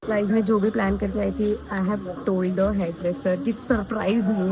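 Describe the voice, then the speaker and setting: A woman's voice: mid-range voice, steady tone, unhurried, poor audio. A young woman talking to camera in a casual vlog, chatty and rambling, poking fun at herself. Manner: chatty, rambling, self-mocking. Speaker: vlogger.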